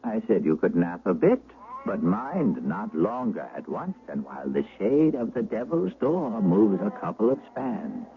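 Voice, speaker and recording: An actor playing a gruff old country shepherd with rustic, archaic speech in a radio drama. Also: male voice, lowish voice, sing-song, measured pace, narrow, tinny audio. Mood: gruff, teasing. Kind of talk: 1970s radio drama acting. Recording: thin, hissy vintage radio recording.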